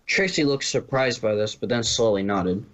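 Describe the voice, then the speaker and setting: A man's voice: on the low side, normal speed, fairly bright tone, dull audio. A man reading story narration from a script, now and then stumbling and rereading a phrase. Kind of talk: scripted fan fiction narration.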